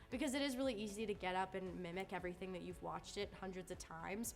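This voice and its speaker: A young woman, a student theater director, talking reflectively in an interview. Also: fairly low pitch. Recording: music under the voice.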